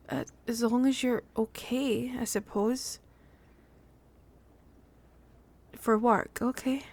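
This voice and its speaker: A woman playing a tender, excitable young woman in an improvised ASMR roleplay, hesitant and soft, slipping into whispers. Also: a little high. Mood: uneasy. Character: young woman nervously leaving her hometown, tender and excitable.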